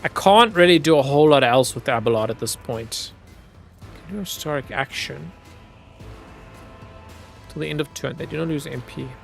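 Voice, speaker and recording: Easygoing male game streamer, slightly high. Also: moderate pace, vocal fry, long pauses, soft background music. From Zimbabwe. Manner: easygoing, chatty, laughs often, talks to chat. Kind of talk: casual gameplay commentary.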